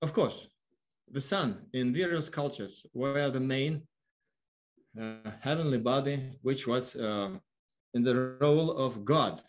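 Lithuanian man lecturing in halting English. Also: mid-range voice. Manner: halting, non-fluent English. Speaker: observatory worker giving a lecture. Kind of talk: explanatory online lecture. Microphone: online video call.

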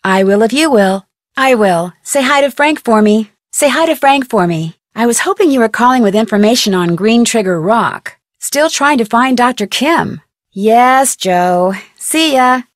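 A woman's voice: fairly high pitch, animated, measured pace, no room sound, studio quality. An American woman voicing an upbeat, clever young female detective in a video game, narrating earnestly with wry asides. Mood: earnest recounting.

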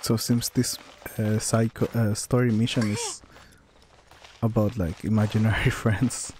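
Slow, mellow male gamer, halting. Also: fairly low pitch, steady tone, a little hiss or hum. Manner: halting, musing. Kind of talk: casual gameplay commentary. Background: game music.

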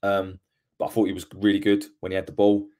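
Man, 30s, football podcast host, low voice, frank, self-correcting, home webcam. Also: completely flat delivery, darkish tone. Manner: frank, fast, self-correcting opinion with repeated words. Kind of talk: solo post-match football reaction.

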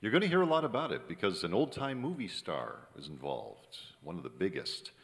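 Male actor as sardonic struggling writer, lowish animated voice, reverberant stage radio drama. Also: brisk, creaky, full character voice. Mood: wry, resigned. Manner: sardonic, dry. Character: wry, down-on-his-luck male Hollywood screenwriter. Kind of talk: noir radio drama narration and dialogue. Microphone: stand microphone on stage. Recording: echoey theater stage, music behind.

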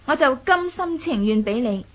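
High-voiced, sly young Cantonese noblewoman. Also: lively intonation. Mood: teasing, bargaining. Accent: Hong Kong Cantonese. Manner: playful, taunting, deliberate. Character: sly, teasing young noblewoman, self-assured. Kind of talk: radio drama acting.

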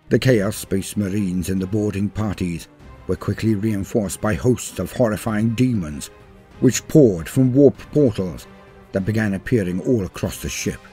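A man reading a scripted war story as a dramatic narrator, grave and theatrical, in measured phrases. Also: lowish voice, a little mellow. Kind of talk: dramatic scripted lore read-through. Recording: voice-over with music behind. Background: music.

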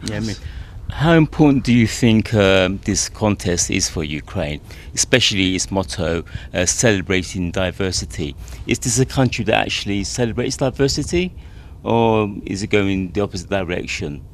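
A man's voice: fairly low pitch, expressive, normal speed, hardly any pauses, slight background noise. A man interviewing a diplomat for radio, asking thoughtful, probing questions in a room.

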